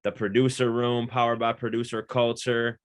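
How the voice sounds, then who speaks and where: A man's voice: medium pitch, little pitch movement, moderate pace. A man hosting a producer interview show, talking casually with hype and lots of affirming thanks to his guest. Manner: hype, affirming, grateful host talk. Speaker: interview host.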